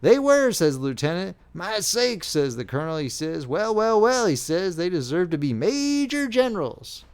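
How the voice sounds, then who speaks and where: A man's voice: sits high, sing-song, moderate pace, hardly any pauses, clean tone, voice pushed up. A man voicing an eager young soldier retelling gossip in rustic speech. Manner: breathless storyteller, repeating "he says".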